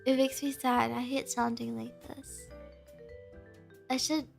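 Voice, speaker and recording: A woman's voice: on the high side, flat delivery, a little slow, gravelly, faint music behind. A young woman, a native Spanish speaker with an American Valley girl accent, chatting casually with her stream and reading chat, giggly and full of "like". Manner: chatty, filler-heavy "like", giggly, bubbly.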